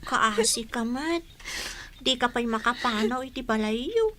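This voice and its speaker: A woman's voice: on the high side, a little slow, a bit bright. A woman playing an inquisitive, forthright young girl in a radio drama, with an Ilocano Filipino accent. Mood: sympathetic.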